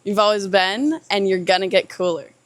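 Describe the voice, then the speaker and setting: A woman's voice: medium pitch, moderate pace, no echo. A woman of twenty-two giving playful, giggly advice. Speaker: trip participant.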